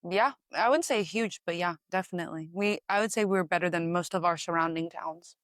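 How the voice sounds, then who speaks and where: A young woman from Texas, a student guest chatting in an interview, friendly and attentive with quick reactions. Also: fairly low pitch, a bit bright, no echo, studio quality. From Texas, USA. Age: young adult. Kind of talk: casual interview chat.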